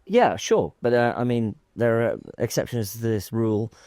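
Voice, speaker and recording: A man's voice: medium pitch, animated, moderate pace, darkish tone, dry recording, studio quality. A man moderating a conference panel, asking questions in a hesitant way with many "um" and "you know". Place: conference stage.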